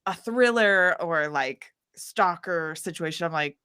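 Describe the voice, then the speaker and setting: A woman guest, a singer-songwriter, chatting casually in an interview and acting out quotes in an animated, informal way. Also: lowish voice, measured pace, clean tone.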